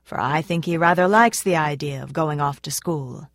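A woman voicing a calm, stern but fond father who speaks in a measured, restrained way. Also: low voice, dry recording, clean studio sound.

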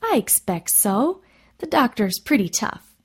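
Brisk medical woman, firm and curt, gently scolding, for a storybook acted by a female narrator. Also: on the high side, very animated, husky, voice pushed up, exaggerated voice. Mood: calm. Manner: curt, chiding.

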